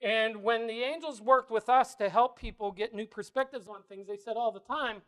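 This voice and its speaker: Male presenter, big voice, playful and laughing, warming up a live crowd. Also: very high voice, moderate pace. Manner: playful, laughs often, builds energy. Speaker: spiritual workshop presenter. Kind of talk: lively talk to a live audience. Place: bookstore event room.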